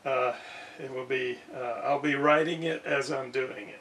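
Slow-talking man, recorded from a distance. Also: mid-range voice, steady tone, a bit bright. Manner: halting, hesitant, thinking aloud. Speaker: radio restorer, video host. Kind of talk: casual hands-on demonstration talk. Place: indoor home workshop at a desk. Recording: distant mic with background noise.

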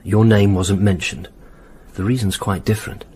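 An actor in a radio drama playing an uneasy, evasive married politician with a husky voice. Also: male voice, fairly low pitch, fairly even intonation, normal speed, darkish tone, slight background noise, natural voice.

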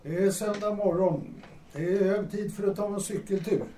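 A man's voice: sits high, steady tone, mellow, natural voice. An actor in an audio drama playing a patient, dry-humoured male friend. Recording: roomy, distant mic, faint noise.

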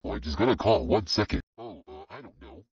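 A performer voicing a stern, grumpy male monkey schoolteacher in a gruff cartoon manner. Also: low voice, lively intonation, fast talker. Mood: puzzled. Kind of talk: cartoon voiceover for short animation. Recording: dull lo-fi audio, busy background chatter.

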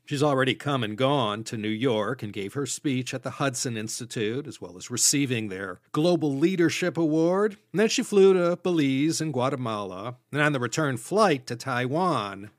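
A man from the United States hosting a history podcast, reading scripted narration in a measured storytelling way with dry asides. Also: mid-range voice, no room sound. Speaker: podcast host.

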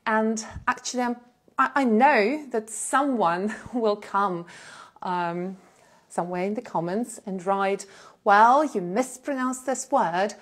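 A woman at a middle pitch, hosting her own live stream. She tells a personal story casually and animatedly, with "um"s and small laughs, close on a clip-on mic in an indoor room. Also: takes it slowly. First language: Russian. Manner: animated, gestures a lot while talking, with frequent fillers and short laughs. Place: indoor room in front of a plain blue backdrop. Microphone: small clip-on lapel mic.

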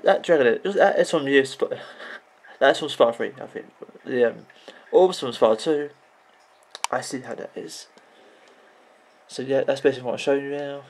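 A man in his thirties with a croaky voice, rambling off the cuff as he vlogs about video games at his computer, full of restarts and "you know"s. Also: mid-range voice, slight background noise. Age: 30s. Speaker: video game vlogger. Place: indoors at a computer.

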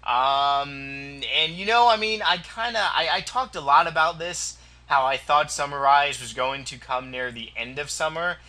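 A young man ranting casually about an online game on his own vlog, loud and profane, with a voice that keeps cracking, recorded on a built-in mic in a small room. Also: unhurried, hardly any pauses. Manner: loud, profane, laughing, jumpy pitch. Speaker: gaming vlogger.

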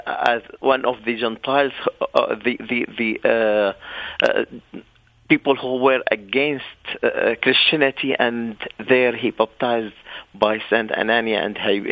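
A man's voice: medium pitch, vocal fry, no room sound. Syrian-accented man on a dull phone line. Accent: Syrian Arabic. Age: 40s. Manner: halting, many fillers, simple grammar. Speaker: pastor guest. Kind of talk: interview over phone line.

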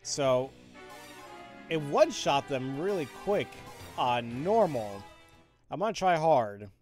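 A man's voice: a little high, expressive, slow pace, a little mellow. Rambling young man streaming a game. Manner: rambling, frequent restarts, blunt and opinionated. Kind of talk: casual game commentary with chat replies. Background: game music and sound effects.

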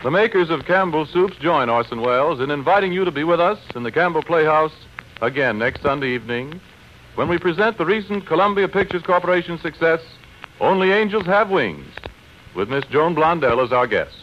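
A man's voice: on the high side, lively intonation, a little fast. An American man reading a scripted sponsor announcement as an old-time radio announcer. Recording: dull, faintly noisy vintage radio broadcast.

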